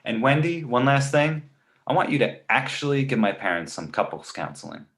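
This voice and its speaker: A man voicing a precocious, manipulative baby boy with a smart-alecky comic delivery in an animated comedy read. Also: medium pitch, normal speed. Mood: cheeky, pleading. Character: precocious, wisecracking, manipulative two-month-old baby boy.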